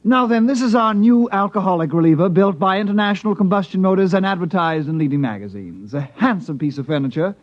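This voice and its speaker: A man's voice: sits high, fast, few pauses, close to an everyday voice. An actor playing an eager, politely unflappable appliance salesman with fussy, polite patter, in mid-century radio drama style. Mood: polite, pitching. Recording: dull, dry vintage radio recording.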